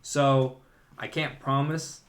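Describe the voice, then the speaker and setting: A man's voice: medium pitch, little pitch movement, takes it slowly. A man co-hosting a podcast, talking casually in a film discussion. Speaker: podcast co-host.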